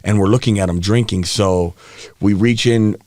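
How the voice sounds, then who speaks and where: A man around thirty who grew up in Hawaii, a podcast guest telling stories from his life in a relaxed way, laughing often and getting animated as the story builds. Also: on the low side, moderate pace, no echo. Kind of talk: candid storytelling interview. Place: podcast studio. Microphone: studio mic.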